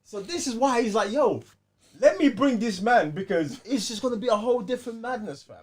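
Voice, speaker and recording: A man's voice: very high-pitched, expressive, fairly quick, a little mellow, slightly rough. A man bantering casually as a podcast co-host, emphatic and slangy, often repeating phrases.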